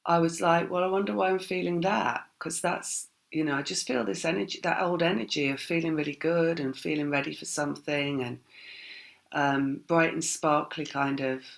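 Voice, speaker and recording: A woman giving a casual spiritual tarot reading, her voice croaky, talking in a rambling, reflective way with many fillers. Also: deep voice, steady tone, moderate pace. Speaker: tarot reader.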